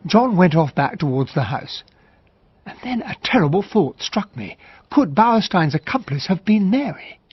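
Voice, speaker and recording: Husky, excitable English captain. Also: male voice, sits high, normal speed, dry recording, dull audio, heavily acted. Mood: brooding narration. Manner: proper, excitable, old-fashioned gentlemanly speech. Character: earnest, slightly dense English army captain, 1916 gentleman. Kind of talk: radio drama dialogue and first-person narration.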